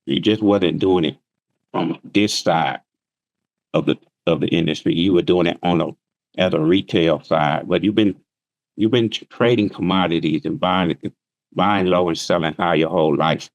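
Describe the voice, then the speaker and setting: A man's voice: low voice, moderate pace, dry recording, lo-fi sound. A man teaching trading, explaining plainly with everyday examples on a remote call. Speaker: trading instructor. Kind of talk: casual interview answers.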